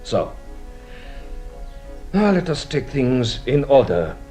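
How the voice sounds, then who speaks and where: An actor playing a shrewd, courteous French police inspector in a radio drama, questioning with theatrical politeness. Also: male voice, mid-range voice, sing-song, slow, a little mellow, faint music behind. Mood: probing.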